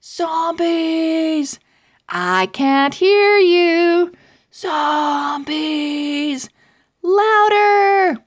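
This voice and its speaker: Woman doing a shrieking, excited zombie crowd. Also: extremely high pitch, very slow, no room sound, clean studio sound, pitched above the performer's own voice, exaggerated voice. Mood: rowdy, excited. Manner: loud, shouted chanting. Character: excited crowd of zombie costumed fans yelling back.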